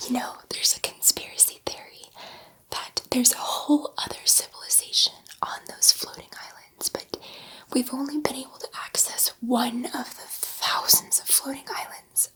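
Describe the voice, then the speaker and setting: A woman playing a gentle, nervous alien scientist in an ASMR sci-fi roleplay, whispering softly in a hesitant, rambling, confiding way. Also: sits high, fairly even intonation, moderate pace, heavily acted, rough recording. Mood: wistful, admiring. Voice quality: soft breathy whisper. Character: gentle, nervous, chatty female alien scientist, friendly to humans.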